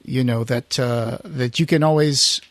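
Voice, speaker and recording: A man hosting a podcast in casual conversation, feeling his way haltingly through his sentences. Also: mid-range voice, no echo. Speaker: podcast host.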